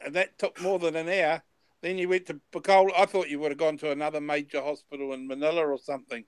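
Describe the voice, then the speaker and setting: A man's voice: fairly high pitch, level tone, quick pace, smooth, no echo. A man in his fifties chatting casually as host, rambling and repeating his words, now and then swearing. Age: 50s. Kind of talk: casual chat.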